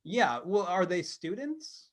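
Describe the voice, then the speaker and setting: A man's voice: high voice, very animated, takes it slowly, fairly bright tone. A man co-hosting a casual discussion, questioning and reasoning aloud somewhat hesitantly. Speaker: podcast co-host.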